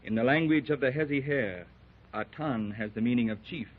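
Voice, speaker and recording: Brief: a man as a grave masked warrior chief, slow and archaic, on a tinny old radio recording. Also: medium pitch, close to an everyday voice. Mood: solemn. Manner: slow, formal and archaic, with thee and thou. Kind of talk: 1930s radio drama acting.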